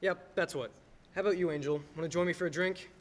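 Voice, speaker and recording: A young performer playing a troubled, wry, skeptical family man in a radio play, halting and incredulous. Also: mid-range voice, steady tone, natural voice. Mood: bewildered. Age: teens to young adult. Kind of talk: stage radio play acting.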